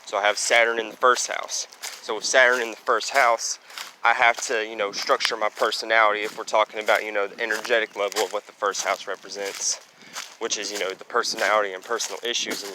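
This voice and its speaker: A young man with a low, booming voice rambles on, leaning heavily on fillers as he walks. He's recorded on a handheld phone outdoors, and clear street and traffic noise runs underneath him. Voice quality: loud, booming voice. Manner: rambling, heavy on fillers like "you know" and "basically". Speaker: astrology vlogger. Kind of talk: casual walking vlog monologue. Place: outdoors, walking near trees and traffic.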